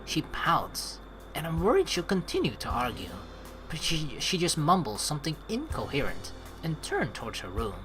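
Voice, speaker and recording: Low-pitched, very animated woman gamer. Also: normal speed, faint music behind, poor audio. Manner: expressive, playful, swearing. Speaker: let's play host. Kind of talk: casual game commentary, reading dialogue aloud.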